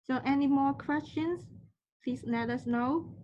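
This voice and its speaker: A woman moderating an online question session in a polite, formal, halting way over a slightly dull call connection. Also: high-pitched, a little mellow.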